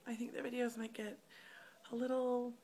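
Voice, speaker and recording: A woman in her thirties speaking candidly in a casual personal vlog, her voice slightly rough, with many ums. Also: fairly high pitch, flat delivery, moderate pace, dark tone. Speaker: vlogger. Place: hotel room.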